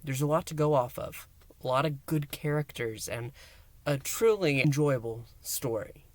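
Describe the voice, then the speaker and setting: A man hosting a review and recap, speaking in an emphatic, theatrical way with dramatic pauses. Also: mid-range voice, a little mellow. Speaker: host, reviewer. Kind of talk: enthusiastic scripted-style recap and review to listeners.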